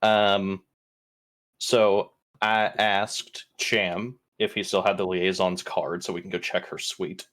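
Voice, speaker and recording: A man's voice: low voice, level tone, slow, a bit bright. A man playing a tabletop roleplaying game, chatting casually and reasoning through the situation with some swearing.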